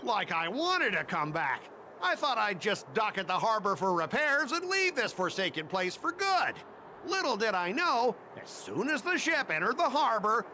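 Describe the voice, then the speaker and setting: An actor playing an indignant, hot-tempered shipmaster in a video game, blustering and argumentative. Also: male voice, very high-pitched, sharp tone, slight background noise, full character voice. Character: indignant, hot-tempered male company shipmaster, quick to complain. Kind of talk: animated video game voice acting. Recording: game audio with music behind.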